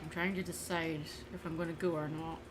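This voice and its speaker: A woman's voice: sits low, flat delivery, fairly quick, noisy background. A woman livestreaming as an artist, giving casual, unscripted commentary on her drawing and thinking aloud in musing fragments.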